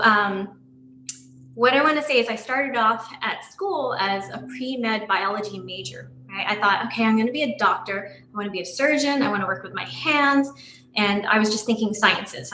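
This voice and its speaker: A woman's voice: medium pitch, pushed and bright, reverberant, a little hiss or hum, rough recording. A woman in her thirties from New York giving a slide talk to an online audience as a guest dentist, earnest and full of ums and restarts. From Long Island, New York.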